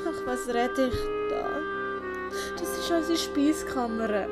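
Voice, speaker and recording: High-voiced, plaintive young servant girl, acted by a woman. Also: slow. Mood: frustrated. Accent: Swiss. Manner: plaintive, expressive. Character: young servant woman, emotional, earnest, tender with animals. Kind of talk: audiobook character acting. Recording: loud background music.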